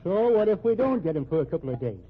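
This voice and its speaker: An actor playing a talkative, confident Irish police officer with folksy, ungrammatical speech in an old radio drama. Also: male voice, high voice, sing-song, fast, slightly rough, full character voice. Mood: assured, matter-of-fact. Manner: folksy, ungrammatical, blunt talk. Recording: muffled, narrow-band old radio recording.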